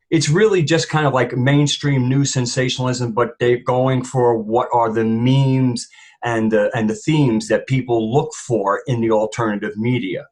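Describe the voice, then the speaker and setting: A man speaking as a panelist in an opinionated discussion, rambling and emphatic, often repeating phrases for weight. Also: mid-range voice.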